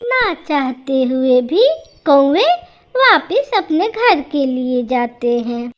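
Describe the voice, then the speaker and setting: A woman narrates a children's cartoon tale in a very high, animated voice. She takes her time, telling the scripted story at a slow pace.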